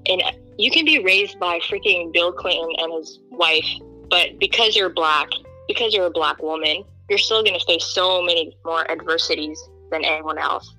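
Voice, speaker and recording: Earnest young woman, bright forceful voice. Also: lowish voice, normal speed, faint music behind, poor audio. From Kenya. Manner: earnest, emphatic, animated when quoting others. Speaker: guest. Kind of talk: candid interview talk.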